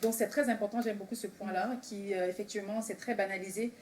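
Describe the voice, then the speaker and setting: A woman's voice: medium pitch, steady tone, fast, darkish tone. A woman hosting a casual group discussion in an enthusiastic manner. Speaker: host. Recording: clearly noisy room recording.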